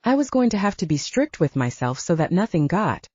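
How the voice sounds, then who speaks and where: Audiobook role: confident young man, teasing and courtly, performed by a woman. Mellow tone, slightly lo-fi recording. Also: fairly low pitch, very animated, fairly quick, husky, no room sound. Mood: measured, earnest. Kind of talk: audiobook character dialogue.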